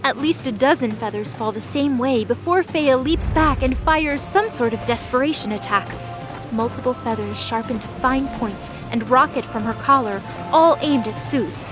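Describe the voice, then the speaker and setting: High-pitched woman narrating a drama. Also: moderate pace, over music. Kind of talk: scripted dramatic story narration.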